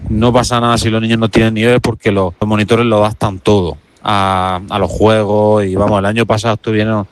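Camp coordinator guy explaining stuff, lowish voice, bit of noise behind. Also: flat delivery, fairly quick. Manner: conversational, explanatory. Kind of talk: interview answer.

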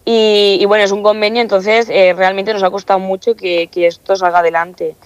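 A woman's voice: medium pitch, little pitch movement, fairly quick, clean tone, no echo. Young woman student, dull dry sound. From Castilla-La Mancha, Spain. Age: young adult. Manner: chatty, many fillers and restarts. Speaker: student council member, guest. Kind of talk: interview answers.

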